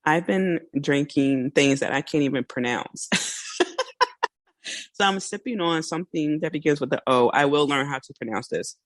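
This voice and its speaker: A woman hosting a casual solo podcast, chatty and a little hoarse, laughing now and then and acting out small quoted asides. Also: deep voice, normal speed, no room sound. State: slightly hoarse. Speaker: podcast host.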